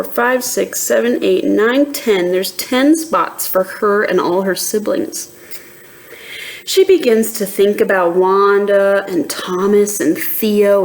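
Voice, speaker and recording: A woman reading a children's picture book aloud in an expressive, deliberate teacher's manner, pausing to ask young listeners questions. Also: mid-range voice, poor audio.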